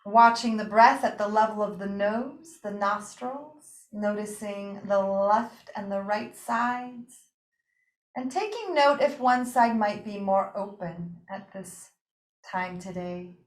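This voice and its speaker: A woman teaching breathing and yoga nidra, guiding a relaxation practice with gentle, invitational phrasing and pauses. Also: mid-range voice, animated. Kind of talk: guided meditation and relaxation instruction. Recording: roomy, echoing room sound.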